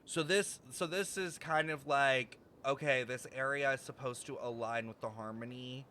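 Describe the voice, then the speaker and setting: A man streaming a story game, talking casually over the scenes and working through his confusion out loud with lots of fillers. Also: mid-range voice, moderate pace, slight background noise. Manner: thinking aloud, filler-heavy, puzzled. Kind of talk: casual commentary over gameplay.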